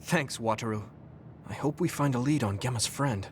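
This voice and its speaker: A man playing an earnest, caring young soldier in a video game, speaking sincerely and clearly. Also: medium pitch, moderate pace, slight background noise, lightly acted. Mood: concerned, helpful. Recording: background music under dialogue. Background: game soundtrack music.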